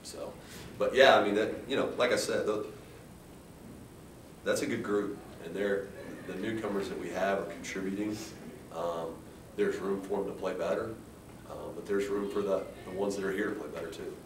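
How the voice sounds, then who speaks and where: An American man speaking as a coach at a post-game press conference, in a halting, measured way with frequent restarts. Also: fairly even intonation. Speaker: head basketball coach. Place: indoor press room at a table. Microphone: distant camera. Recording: roomy, distant mic with faint rumbling noise.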